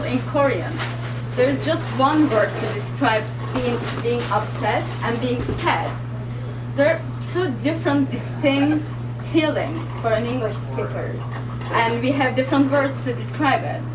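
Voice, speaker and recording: A woman's voice: high voice, very noisy. Chatty woman in an echoey hall. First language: Turkish. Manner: casual, chatty, frequent "like" fillers. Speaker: science slam presenter. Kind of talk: live science talk with puppets. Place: stage in a hall at a live event. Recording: echoey hall from far off with loud ambience.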